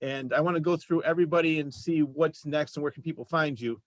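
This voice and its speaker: A man with a fairly high-set voice hosts a casual interview show. His sound is close and dry, with conversational questions and remarks. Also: fast talker, dry recording, poor audio. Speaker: show host.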